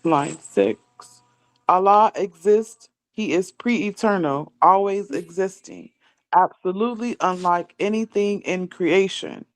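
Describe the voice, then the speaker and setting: A woman's voice: medium pitch, slow pace, no echo. A woman, a student in a class, reading a religious text aloud line by line.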